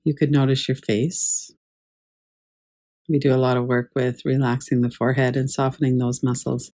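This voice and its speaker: A woman teaching guided meditation in a calm, measured, gently instructive way. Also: rumbling low. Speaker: yoga nidra meditation teacher.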